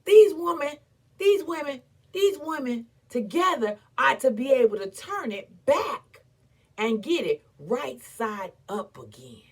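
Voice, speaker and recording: A woman giving a dramatic, theatrical recitation as an impassioned, defiant, weary but proud orator, with emphatic repetition and long pauses. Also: high voice, sing-song, husky. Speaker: performer reciting a historical speech.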